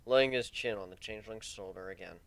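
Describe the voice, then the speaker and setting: A man's voice: on the low side, a little fast, a bit bright. A man narrating a fan fiction story as a dramatic reading.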